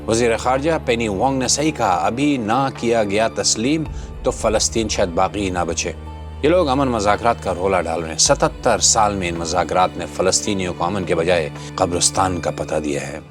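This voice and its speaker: A man's voice: lowish voice, normal speed. A man hosting a scripted opinion commentary, speaking in a dramatic, rhetorical and sardonic manner. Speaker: host of a commentary channel. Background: background music.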